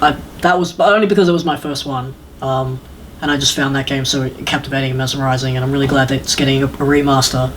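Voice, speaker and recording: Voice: medium pitch, a little hiss or hum, poor audio. A podcast host chatting casually and opinionatedly about films, rambling along and acting out imagined lines. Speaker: podcast host reviewing video game films. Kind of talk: casual opinionated panel chat.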